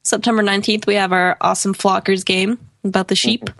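A woman's voice: medium pitch, fairly even intonation, brisk, creaky, fairly bright tone, no room sound. A sniffly woman co-hosting a casual gaming podcast, chatting and reading out lists in a playful, joking way. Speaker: podcast co-host reading game release dates.

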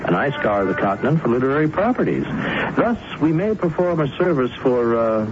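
An actor playing a sly, worldly publisher in a 1970s radio drama, coaxing in an insinuating, hesitant way. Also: male voice, mid-range voice, sing-song, normal speed, lightly acted. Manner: hesitant, insinuating, chuckling delivery. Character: sly, worldly male publisher, smoothly persuasive tempter. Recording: muffled, noisy old radio broadcast recording.

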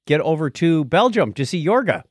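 A man's voice: on the high side, sing-song, normal speed, no echo, clean studio sound. A man co-hosting a podcast, chatting casually and telling personal anecdotes. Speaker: podcast co-host.

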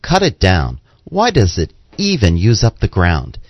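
A man co-hosting a religious radio show, reading scripted text in a deliberate, expressive way. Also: sits low, slightly rough, no echo. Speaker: radio co-host and scripture reader. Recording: dull lo-fi radio audio.

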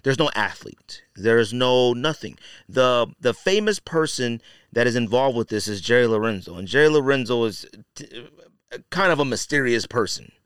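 A man's voice: medium pitch, lively intonation, moderate pace, a bit bright, clean tone, no room sound, studio quality. A man hosting a podcast, giving casual opinion commentary in colloquial, slangy language and repeating phrases for emphasis. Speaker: podcast host.

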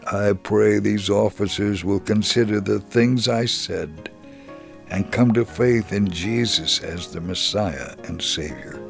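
A man playing an elderly, devout Israeli man, reading his first-person story in a measured, earnest, gravelly and husky voice for radio. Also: lowish voice, faint music behind. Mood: earnest, firm. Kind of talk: dramatic scripted reading of a first-person testimony.

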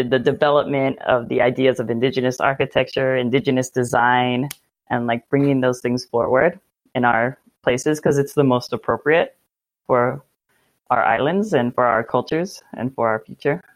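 A woman's voice: a bass voice, steady tone, moderate pace, low-quality recording. A young woman from Hawaii who studied urban planning, interviewing in a casual, friendly way. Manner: casual, friendly, uses "like" often. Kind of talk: conversational interview.

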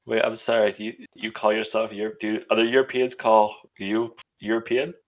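A man co-hosting a podcast in casual chat, teasing the others with quick questions. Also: lowish voice, little pitch movement. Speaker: podcast co-host.

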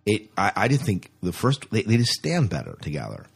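Deep, slightly husky man. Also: huge pitch swings, a little fast. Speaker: podcast host. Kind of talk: casual discussion.

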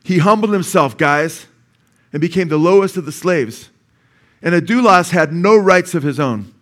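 Californian pastor preaching with emphatic peaks. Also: male voice, a little high, animated, moderate pace, fairly bright tone. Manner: explains word meanings, builds to emphatic peaks; calls for congregation's amen. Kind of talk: sermon. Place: church sanctuary.

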